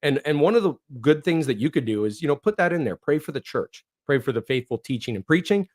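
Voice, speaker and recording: A hoarse man from northeastern Ohio hosting a casual livestream, reading viewer comments in a rambling way with many fillers and restarts. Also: a little high, expressive, quick pace. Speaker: livestream host.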